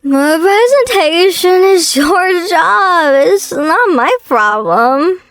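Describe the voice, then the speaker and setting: High-pitched pouty young lady boss, acted by a woman. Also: animated, slow, a bit bright, soft background music, heavily acted. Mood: drunk, demanding. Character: young female boss, tipsy, pouty, lovelorn ex-girlfriend. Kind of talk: manga dub character acting.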